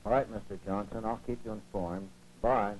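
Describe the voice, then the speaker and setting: A man's voice: fairly low pitch, fairly even intonation, normal speed, darkish tone, lightly acted. A man playing a conscientious, polite school bus driver in a 1940s educational film. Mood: polite, reporting. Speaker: actor in a training film. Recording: muffled, noisy 1940s film soundtrack with hum.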